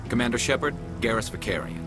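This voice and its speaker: A man's voice: medium pitch, fast, close to an everyday voice. A man playing a driven, distrustful alien police investigator in a video game. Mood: wary, intent. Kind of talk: video game cinematic acting. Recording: music behind the voice.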